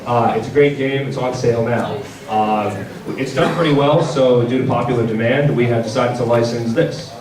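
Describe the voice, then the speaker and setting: A man giving a convention panel presentation as a game publisher's presenter, speaking in a halting, hesitant way. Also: mid-range voice, steady tone. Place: large indoor convention panel room. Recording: echoey convention hall, distant mic, audience noise. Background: audience crowd.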